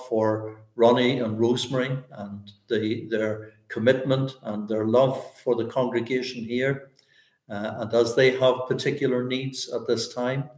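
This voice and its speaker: Male pastor, 60s, Northern Irish accent. Unhurried, earnest public prayer in short halting phrases, recorded in an echoey room. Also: mid-range voice, a little mellow. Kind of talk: extempore public prayer, church service. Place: indoors, small bare-walled room.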